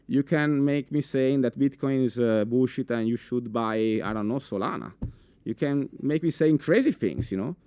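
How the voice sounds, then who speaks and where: A young man with an Italian accent giving a live conference talk in a full room, animated, with many fillers and restarts, asking the audience questions. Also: medium pitch, normal speed, smooth, no echo. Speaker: conference speaker.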